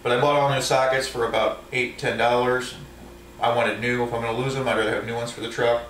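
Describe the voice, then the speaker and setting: A man's voice: mid-range voice, level tone, quick pace, a bit bright, distant mic, slight background noise. Rambling man, echoey room, off mic. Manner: rambling, lots of ums and restarts. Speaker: truck driver. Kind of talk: casual show-and-tell of tools. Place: indoor room at home. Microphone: camera set up overhead, a few feet from the speaker.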